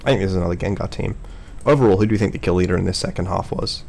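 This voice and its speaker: A man's voice: deep voice, rapid-fire, vocal fry, a little mellow, slight background noise. A man hosting a casual, unscripted gaming chat, with a teasing manner and frequent chuckles. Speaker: host of a Pokémon draft analysis video.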